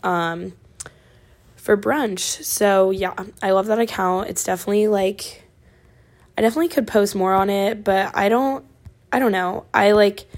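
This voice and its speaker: A college-age woman from Oregon hosting her podcast, chatting casually with a raspy voice and lots of fillers. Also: medium pitch, steady tone, measured pace. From Oregon, USA. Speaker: podcast host. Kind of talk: casual chat.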